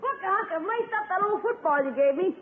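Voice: very high-pitched, animated, a little fast. A performer playing a wisecracking young American nephew in a 1950s radio comedy. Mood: eager, boyish. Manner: wry, cheeky wisecracks. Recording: narrow, tinny 1950s radio recording.

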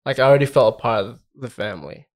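A man's voice: mid-range voice, animated, fast. A man chatting casually and candidly, in an informal way with frequent check-in tags. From Australia. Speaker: podcast participant. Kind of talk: casual chat.

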